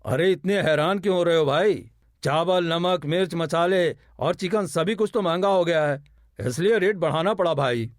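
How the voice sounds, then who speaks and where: A man acts out a greedy cartoon crow running a biryani stall. The voice is somewhat high and very dry, full of self-satisfied exclamations. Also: normal speed, no echo, natural voice. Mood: scheming. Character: greedy male crow biryani seller, scheming and boastful. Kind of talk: cartoon moral story acting.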